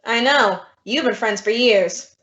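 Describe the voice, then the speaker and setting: A woman's voice: on the high side, a little slow, fairly bright tone. Woman playing a wry schoolteacher in an echoey room. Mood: dry, questioning. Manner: dry, sardonic. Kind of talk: old-time radio comedy acting. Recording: echoey room, dull audio.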